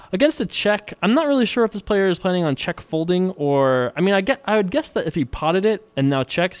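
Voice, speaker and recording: A man's voice: a little high, clean tone. Jokey, chuckling young man commentating poker. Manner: jokey, chuckling, self-amused, drawn-out mock pondering. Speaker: poker vlogger. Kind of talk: casual playful commentary over online poker.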